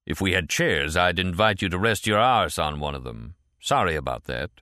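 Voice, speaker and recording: A man voicing a suspicious, mock-polite customs supervisor with a dry, deadpan interrogating manner. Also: deep voice, normal speed, no echo. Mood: sardonic.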